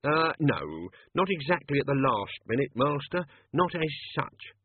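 A man's voice: medium pitch, very animated, a little slow, breathy edge, pitched above the performer's own voice. A man voicing a nervous, wheedling old male manservant who keeps calling his employer master. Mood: fretful. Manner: nervous, pleading, says Master often. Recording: tinny lo-fi audio.

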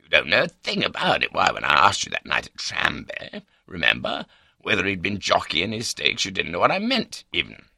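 Man acting a gravelly, blustering old English gentleman. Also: low-pitched, huge pitch swings, moderate pace, dry recording, studio quality, heavily acted. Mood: bluff, incredulous. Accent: upper-class British. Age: older. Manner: pompous, wry, blustering.

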